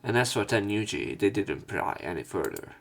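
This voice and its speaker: A man's voice: on the low side, very animated, moderate pace, vocal fry, soft background music. A man reading visual novel narration aloud as a let's play narrator.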